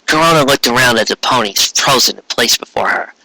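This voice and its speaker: A man's voice: mid-range voice, fairly quick, sharp tone, no echo, poor audio. A man reading a scripted fantasy story aloud in dramatic narration, now and then stumbling over words. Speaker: narrator reading fan fiction.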